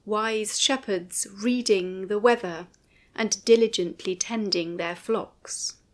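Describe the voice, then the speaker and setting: A woman reads a prepared commentary as narrator. Her voice sits at a medium pitch for a woman. The delivery is formal and measured, with carefully shaped phrases. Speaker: writer and narrator of a music commentary. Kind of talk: scripted narration.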